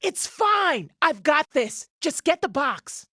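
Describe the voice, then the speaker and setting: A woman playing a brash, hearty, loyal female gem builder in an animated game, talking in a punchy, blunt, folksy way. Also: sits high, takes it slowly, no echo, clean studio sound, heavily acted. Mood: urgent. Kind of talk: animated game cutscene acting.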